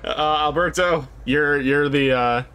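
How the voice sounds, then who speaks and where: A man hosting a let's play, reacting casually with friends in a hesitant, halting way. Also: on the high side, sharp tone, clear, resonant tone.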